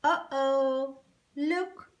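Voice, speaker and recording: A woman telling a picture-book story to young children in an expressive, exclamatory way, taking her time. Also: high-pitched, drawn-out words, no echo.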